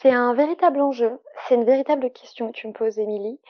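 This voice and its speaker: Higher-voiced woman, muffled dry sound. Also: fast. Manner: earnest, explanatory, frequent fillers. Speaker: sexologist guest. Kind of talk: casual interview conversation.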